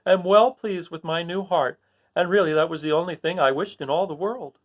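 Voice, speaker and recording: A man voicing a kindly, tender-hearted tin woodman in a children's storybook, gentle and earnest. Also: a little high, moderate pace, highs cut off, voice pushed up, close to an everyday voice. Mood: contented. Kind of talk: children's storybook read aloud.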